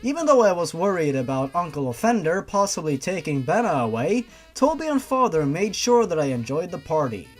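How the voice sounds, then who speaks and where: A host reads fan fiction with a lively, swinging delivery. The narration keeps breaking into exasperated, mocking commentary with plenty of swearing. Music plays behind the voice. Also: mid-range voice, a little fast, few pauses. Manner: sarcastic, exasperated, sweary asides. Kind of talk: story reading with mocking commentary.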